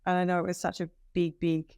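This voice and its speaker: A woman's voice: lowish voice, flat delivery, fast, a little mellow, dry recording, studio quality. A woman hosting a casual interview podcast, asking questions and reacting with short encouraging responses. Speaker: podcast host.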